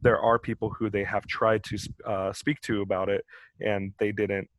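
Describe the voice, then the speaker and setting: Panelist: young guy, low gravelly voice, casual, lots of "like.". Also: flat delivery, normal speed, no echo. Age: young adult. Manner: casual, full of "like" fillers. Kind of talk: panel discussion.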